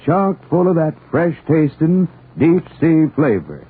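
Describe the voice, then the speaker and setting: A man with an American accent delivering a folksy 1940s radio commercial as a pitchman. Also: big pitch swings, slow pace, breathy edge. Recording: muffled, tinny vintage radio recording.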